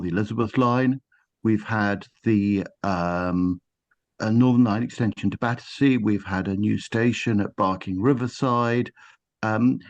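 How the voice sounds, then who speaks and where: A man speaking on a discussion panel in a measured, slightly hesitant way. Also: lowish voice, lo-fi sound.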